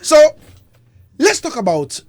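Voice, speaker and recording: A man hosting a casual interview who reacts with excitement, laughs easily, and acts out the quotes he retells. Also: extremely high pitch, slow pace, slight background noise. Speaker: interview host.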